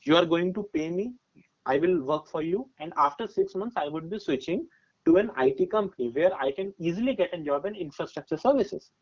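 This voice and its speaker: Higher-pitched young Indian man, explaining emphatically. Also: animated, a little fast. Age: 20s. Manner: emphatic, explanatory, often checking with "right?". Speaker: podcast guest giving career advice. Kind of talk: conversational advice with personal examples. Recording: dull, muffled lo-fi audio.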